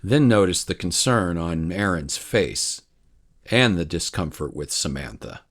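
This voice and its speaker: A man's voice: sits low, lively intonation, a little slow, fairly bright tone. A man narrating a scripted fantasy story in the manner of an audiobook. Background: prominent music.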